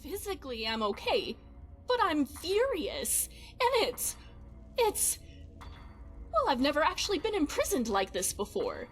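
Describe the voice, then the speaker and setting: A woman voicing a quirky, chatty, fretful young adventuring companion in a fantasy game, expressive and wry. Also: sits high, unhurried, pauses often, faint music behind, heavily acted. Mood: upset, indignant.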